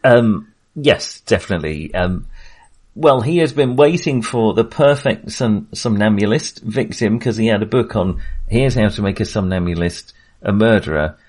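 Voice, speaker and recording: A male podcast co-host with a lowish voice talks informally about cinema. His delivery is halting, as if he is working out each thought while he speaks. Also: expressive, a little mellow. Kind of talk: casual film discussion.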